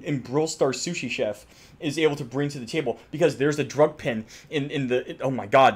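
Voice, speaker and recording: A young man doing comedic reaction commentary on game voice lines, excitable and crude, exaggerating and swearing freely. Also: mid-range voice, slightly rough. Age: young adult. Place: small room indoors, wall covered in drawings. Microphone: desk microphone close by.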